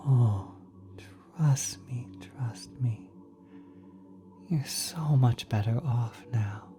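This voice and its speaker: A man voicing a kind, doting celestial being in a soft, breathy, often whispered ASMR roleplay, tender and halting. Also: mid-range voice, noisy background. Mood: tender, reassuring. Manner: tender, halting, gently coddling. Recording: cosmic ambient sound effects behind.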